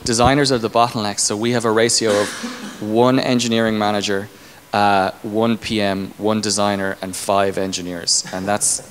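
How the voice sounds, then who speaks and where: Thirties male tech leader, lowish voice, informal Q&A delivery, reverberant event room with background noise. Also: level tone, normal speed. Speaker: tech company engineering leader being interviewed. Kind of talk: conference Q&A, informal explanation. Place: indoor conference stage. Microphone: handheld microphone. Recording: echoey hall, clear background room noise.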